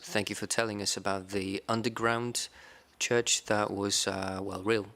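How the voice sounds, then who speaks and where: A man interpreting a conference panel into English, in an even, measured delivery with small fillers. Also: lowish voice, vocal fry. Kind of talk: simultaneous interpretation.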